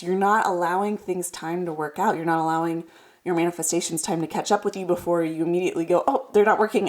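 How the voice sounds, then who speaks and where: A woman's voice: on the low side, a little mellow. A woman hosting a card reading, speaking casually and directly to the viewer in a reassuring, encouraging way, slightly congested from a cold. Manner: reassuring, encouraging, emphatic repetition. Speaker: host of tarot card readings.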